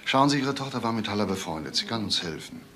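Musing police detective, faint noise. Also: male voice, on the low side, animated, quick pace, sharp tone. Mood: probing, musing. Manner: musing, repeats words, questioning tags. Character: shrewd, deliberate male police detective. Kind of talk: German crime radio play acting. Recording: faint noise and clicks, older radio play.

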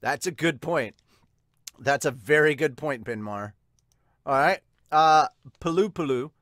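A man's voice: fairly high pitch, a little slow, fairly bright tone, no room sound. A man in his thirties chatting casually as a manga reviewer and host, recorded close on a desktop mic at home. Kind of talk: casual chat, reading viewer comments. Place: small home room with bookshelves. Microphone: large desktop microphone with pop filter, close.

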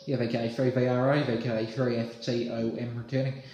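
A man's voice: medium pitch, little pitch movement, a little fast, music in the background. A young Australian man chatting casually as an amateur radio operator, using radio jargon. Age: young adult. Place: small indoor room. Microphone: phone at arm's length. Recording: roomy echo, slight hiss.

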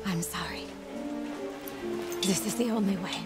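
A woman playing a somber, resolute field agent in a video game, speaking in a grave, measured way. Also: medium pitch, loud music behind the voice, lightly acted. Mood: grave resolve.

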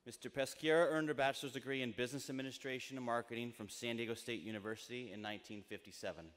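A middle-aged American man giving a formal ceremonial speech in measured, emphatic phrases at a podium microphone. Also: medium pitch, fairly even intonation, fairly bright tone. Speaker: university trustee speaking at commencement. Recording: long reverberant PA in large tent.

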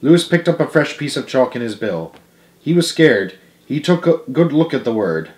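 Young guy reading a kids book out loud at home, normal pitch, trips on words a bit. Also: big pitch swings, normal speed. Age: young adult. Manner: stumbles over words and corrects himself. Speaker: storyteller reading a children's novel aloud. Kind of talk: amateur read-aloud narration. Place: living room at home, indoors.

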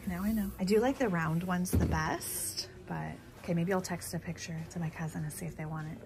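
A woman in her thirties who owns a vintage shop, chatting casually and excitedly as she films a thrift trip in a store and a car. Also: fairly low pitch, a little fast, gravelly, noisy background. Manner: chatty, excitable, thinks aloud. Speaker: vintage shop owner and vlogger. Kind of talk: casual thrifting vlog chat. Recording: music playing in the background.

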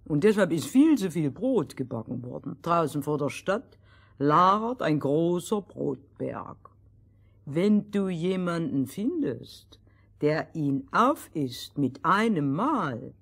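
A woman's voice: fairly low pitch, big pitch swings, slow pace, husky, full character voice. A woman playing a stubborn, scheming king in a children's fairy tale, commanding, pompous and drawn out.

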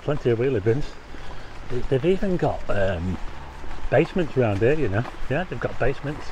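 A man's voice: mid-range voice, big pitch swings, moderate pace, a little mellow, a little hiss or hum, rough recording. A man casually narrating a walk outdoors on a rainy street, chatty, asking viewers questions and making wry asides. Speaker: walking tour vlogger. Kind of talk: casual walking commentary.

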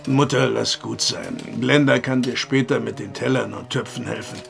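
Gravelly sceptical worried father. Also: mid-range voice, steady tone, normal speed, faint music behind. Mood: sceptical, probing. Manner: direct, probing. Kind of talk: period horror audio drama acting.

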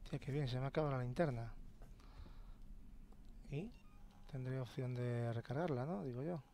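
A relaxed man talks over a game at a middle pitch, muttering to himself and swearing now and then. Noticeable game noise sits behind his voice. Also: moderate pace. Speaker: gameplay commentator. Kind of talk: casual gameplay commentary.

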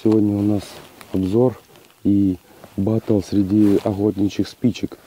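A man with a hoarse voice from a cold, testing gear outdoors in a plain, matter-of-fact way with hesitant fillers. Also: lowish voice, level tone, measured pace, warm tone, slight background noise, rough recording. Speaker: outdoor channel host. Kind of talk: casual outdoor gear test. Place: outdoors on a rocky hillside.

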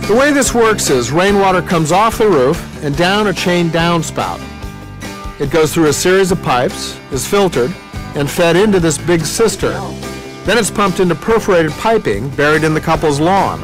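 A man hosting a home renovation show, asking quick joking questions outdoors and narrating voice-over. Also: fairly high pitch, expressive, music in the background, poor audio. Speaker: TV host. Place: outdoor backyard.

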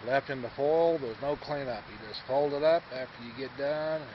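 A man's voice: medium pitch, moderate pace. A man casually narrating a home video of his forest campsite, explaining things step by step for viewers. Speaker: camper filming a vlog. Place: outdoor forest campground by a river. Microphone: handheld camera mic. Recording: dull home-video audio with faint outdoor hiss.

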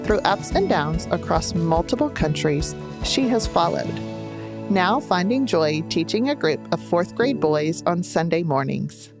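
A woman reading a scripted podcast introduction in an expressive, lilting way. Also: lowish voice, a little slow. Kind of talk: scripted narration. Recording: narration over music.

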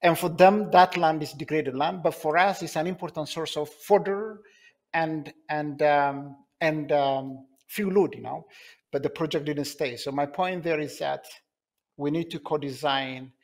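Man from ethiopia talking on a headset over a video call, kinda high pitched, earnest. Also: measured pace. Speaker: panelist. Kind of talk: conference panel answer. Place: bright indoor room, joining a panel by video call. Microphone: headset mic.

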